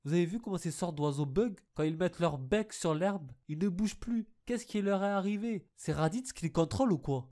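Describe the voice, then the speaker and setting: Higher-voiced man giving casual cartoon commentary. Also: expressive, fairly quick, hardly any pauses, dark tone, faint music behind. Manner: teasing, addresses the characters directly. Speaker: video host commenting on cartoon scenes.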